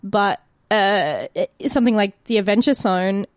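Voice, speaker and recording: A woman's voice: medium pitch, expressive, moderate pace, no room sound, studio quality. A woman with an Australian accent chatting casually and candidly as an actor on a podcast, swearing freely. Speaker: podcast cast member, actor. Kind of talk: casual chat.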